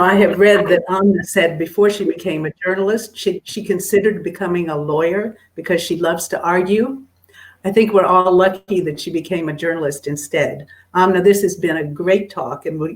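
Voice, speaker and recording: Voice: medium pitch, moderate pace, rough recording. Courteous seminar moderator on a call. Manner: courteous and formal.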